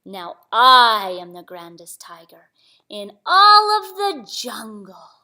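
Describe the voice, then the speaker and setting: A woman's voice: on the high side, very animated, slow pace, pushed and bright, heavily acted. Lady doing a menacing storybook tiger, drags words out all growly and boastful. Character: boastful, menacing grand male jungle tiger. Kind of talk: children's storybook reading.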